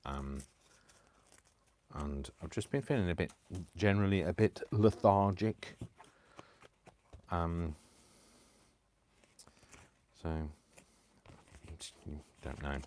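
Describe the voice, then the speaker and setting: A man hosting a live crafting stream, chatting casually and poking fun at himself, tired and clearing his throat. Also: low-pitched, expressive, takes it slowly, long pauses, darkish tone, slight background noise. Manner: chatty, self-deprecating, talks to himself. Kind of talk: rambling casual chat while crafting.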